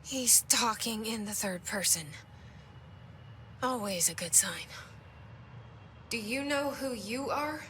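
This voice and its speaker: A woman's voice: a little high, animated, takes it slowly, pauses often, sharp tone, slight background noise, heavily acted. An actress playing a guarded, determined young woman with a dry, intense manner in a video game. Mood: tense, bitter. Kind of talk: video game dialogue and inner monologue.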